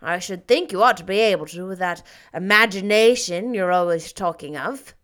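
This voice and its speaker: A woman voicing a stern, practical older guardian who speaks in a dry, measured way. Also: medium pitch, a bit bright, close to an everyday voice. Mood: weary. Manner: dry, measured, a little grim.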